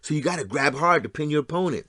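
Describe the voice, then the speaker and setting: A man's voice: fairly high pitch, expressive, fast, sharp tone, no room sound, studio quality. An actor playing a resentful, combative blue-collar man in an audio drama, plain-spoken, sardonic and argumentative. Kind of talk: stage-style audio drama acting.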